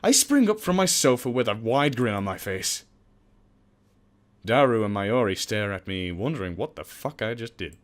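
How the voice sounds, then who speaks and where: A man's voice: on the low side, expressive, normal speed. A young man casually reading a visual novel aloud for a let's play. He jokes, swears and mocks himself as he stumbles over words.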